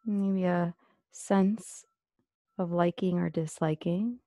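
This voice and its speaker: A woman with a soft-edged, mellow voice and noticeable fry leads a guided meditation. She gives gentle instructions, leaving long pauses between phrases. The sound is close and dry, with no room around it. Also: fairly low pitch, fairly even intonation, dry recording.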